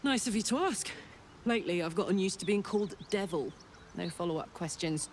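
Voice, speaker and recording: A woman's voice: mid-range voice, animated, fairly quick, a little hiss or hum, natural voice. An actress playing a brash, hot-blooded female tiefling warrior in a video game, blunt and casual in her talk. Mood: wry, candid. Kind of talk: video game character acting.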